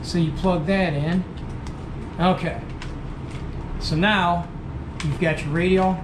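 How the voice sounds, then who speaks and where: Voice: medium pitch, fairly even intonation, slow pace. Casting: folksy how-to host, casual and repetitive for beginners, recorded in a roomy space with background noise. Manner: folksy, repeats points for beginners. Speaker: host demonstrating radio gear. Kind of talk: casual hands-on tutorial.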